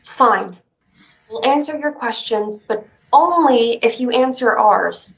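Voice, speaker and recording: A woman's voice: a little high, lively intonation, slow pace, gravelly, muffled recording, heavily acted. A woman in a staged play reading playing a defiant, suspicious, hot-headed child on a ship. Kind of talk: staged reading of a play over video stream.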